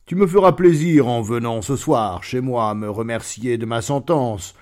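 A man voicing a mighty, scheming male lion who rules as judge, booming and mocking. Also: a little slow, darkish tone, close to an everyday voice. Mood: commanding.

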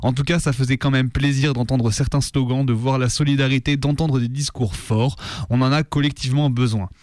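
A man's voice: mid-range voice, steady tone, a little fast, darkish tone, no room sound. Dry-sounding man, militant radio commentary. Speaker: radio show presenter. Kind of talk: scripted political radio commentary.

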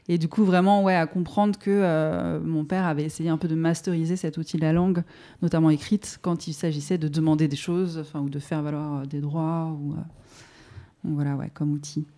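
Low, mellow-voiced woman talking thoughtfully. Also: fairly even intonation, brisk. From France. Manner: hesitant, many fillers and restarts. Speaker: podcast guest. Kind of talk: casual reflective conversation.

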